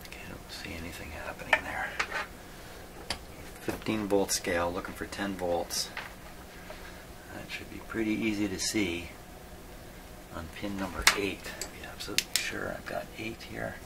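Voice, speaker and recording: Male repair-video host, very low creaky voice, unhurried and halting, talking himself through bench work over clear background noise. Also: steady tone. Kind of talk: unscripted hands-on demonstration, thinking aloud. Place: radio repair workshop.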